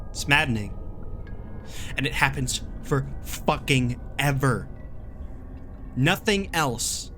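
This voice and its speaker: Man acting a jaded wanderer, forceful and sharp. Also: medium pitch, slight background noise. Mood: grim, urgent. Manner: sardonic, emphatic, colloquial grumbling. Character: jaded, gruff, exasperated male wanderer logging a survival warning. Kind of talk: dramatic reading of a horror survivor's log.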